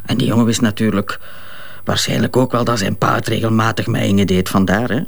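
A woman's voice: very deep voice, fairly even intonation, a little fast, creaky, full character voice. A middle-aged woman with a Flemish accent playing a cynical, blunt betrayed wife in an audio drama. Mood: scornful. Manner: frank, sardonic, unabashed. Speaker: actor.

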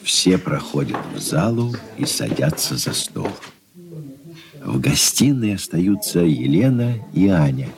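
A man's voice: sits low, sing-song, a little slow, creaky. A man reading scripted stage directions as narrator of an old radio play. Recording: noisy old radio recording.

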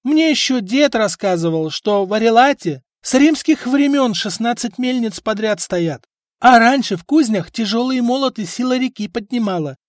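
A man voicing a sharp-tongued village wife who yells and scolds her husband in a storybook reading. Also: very high voice, expressive, normal speed, no echo, clean studio sound, voice pushed up. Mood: condescending. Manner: shrieking, scolding. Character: shrill scolding village wife, middle-aged.

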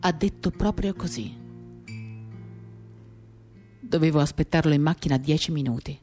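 A woman giving a dramatic, confiding first-person reading of literary prose, measured and intimate, in short reflective phrases. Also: low-pitched, mellow. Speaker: reader of a novel for radio theatre. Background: acoustic guitar music.

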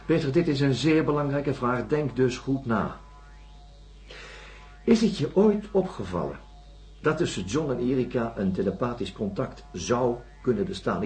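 An actor playing a probing, insistent doctor in a radio drama, questioning deliberately and emphatically. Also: male voice, medium pitch, dark tone.

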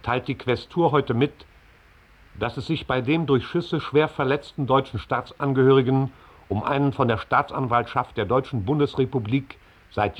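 An actor playing an impersonal announcer who formally reads out a factual news report in a 1960 radio play. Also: male voice, medium pitch, breathy edge, natural voice. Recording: dull, band-limited old radio recording.

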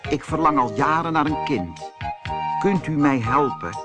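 A man voicing a gentle, earnest woman who longs for a child, in a children's fairy tale. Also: fairly high pitch, expressive, unhurried, over loud music, voice pushed up. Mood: longing.

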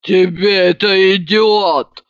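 A woman voicing a brash, hard-drinking woman in a story, who declaims in a booming, unflappable way. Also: steady tone, takes it slowly, dry recording, dull audio. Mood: hearty. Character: brash hard-drinking woman solemnly spouting Latin toasts.

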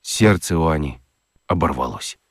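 A man giving an expressive, theatrical scripted narration of a children's story. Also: rumbling low, moderate pace, husky, no room sound, clean studio sound.

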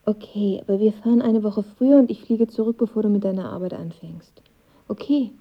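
A guarded, vulnerable young woman in a radio play. Her mid-pitched voice is husky and hushed as she confides, and the recording is a little dull. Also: a little fast. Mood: hesitant. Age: young adult. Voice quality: breathy, husky. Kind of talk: radio drama acting.

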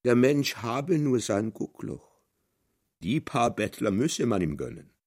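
A man's voice: mid-range voice, animated, slow, darkish tone. An actor playing a coarse, brawny working man with a blunt manner in a German radio drama. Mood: grudging.

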